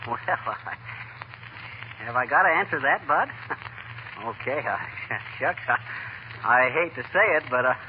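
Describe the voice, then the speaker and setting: An actor playing a lazy, blustering husband in 1940s radio comedy, folksy and grumbling. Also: male voice, mid-range voice, animated, unhurried, long pauses, natural voice. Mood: sheepish, protesting. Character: blustering, lazy, reluctant husband, grudgingly truthful braggart. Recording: scratchy, tinny 1940 radio broadcast.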